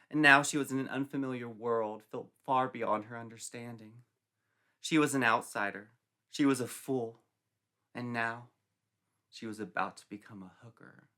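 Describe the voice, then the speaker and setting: A man's voice: fairly low pitch, pushed and bright. A man narrating a scripted story dramatically, in a theatrical, mock-serious manner.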